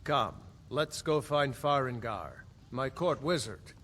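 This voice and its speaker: A commanding male jarl from a fantasy game, speaks in a mid-pitched voice. He is proud, deliberate and authoritative, with faint ambience around him. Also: expressive, a bit bright, slight background noise. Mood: stately, approving. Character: commanding, proud Nordic jarl, decisive ruler of a hold. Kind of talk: fantasy video game voice acting.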